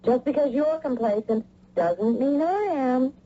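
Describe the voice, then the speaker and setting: An actress playing a brisk, bossy, worried wife who presses her point in a radio drama. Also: high-pitched. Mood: insistent, concerned. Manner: bossy, insistent, animated. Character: brisk, insistent, worried wife in a spy mystery. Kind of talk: 1980 radio drama acting. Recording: muffled old radio recording, faint noise.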